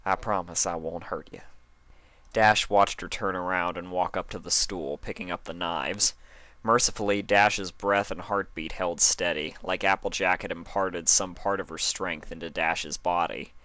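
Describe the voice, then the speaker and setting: Deep-voiced man narrating, bright and dry. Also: steady tone, moderate pace, no echo. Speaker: fan fiction reader. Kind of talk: dramatic story narration.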